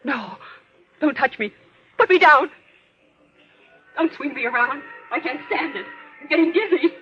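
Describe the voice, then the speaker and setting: An actress playing a guilt-ridden, murderous stepmother trapped in a nightmare, melodramatic and halting, in old-time radio horror style. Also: high voice, expressive, soft background music. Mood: hysterical. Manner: melodramatic, halting fragments, from whispers to shrieks. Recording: dull, lo-fi old radio recording with noise.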